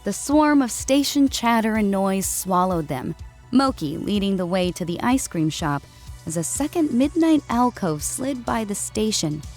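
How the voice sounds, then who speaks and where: A woman narrates a scripted story in a medium-pitched voice. Faint music plays behind her reading. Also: expressive, a little slow, a little mellow.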